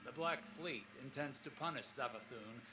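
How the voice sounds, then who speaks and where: An actor playing a weary, scholarly explorer in a science fiction game, recording a log in a measured, grave, formal way with brooding pauses. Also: male voice, mid-range voice, over music, natural voice. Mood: urgent, troubled. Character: weary, scholarly male sci-fi explorer, solemn and troubled.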